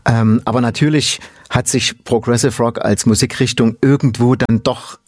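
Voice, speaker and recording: A man asks a band about their album in a loose, rambling way, full of fillers and restarts. His voice sits at a middle pitch. The sound is dry and close. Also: steady tone, moderate pace, no echo. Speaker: interviewer. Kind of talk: casual interview chat.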